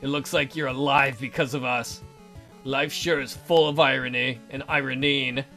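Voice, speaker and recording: A woman's voice: extremely low pitch, steady tone, normal speed, a bit bright, soft background music, voice pushed down. A woman voicing a bitter, scornful man in a visual novel read-through, accusing and contemptuous. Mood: sardonic.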